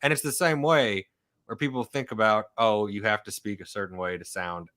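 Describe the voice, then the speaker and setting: A man's voice: fairly low pitch, moderate pace. A man from Oklahoma with a slight Southern accent, telling stories in a casual conversation. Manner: storytelling, animated in quoted lines. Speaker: historian researcher.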